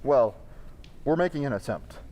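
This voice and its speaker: A man playing a courteous, composed amateur sleuth in an old-time radio drama, with formal, measured phrasing. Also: medium pitch, expressive, mellow, slight background noise, natural voice. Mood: calm, probing.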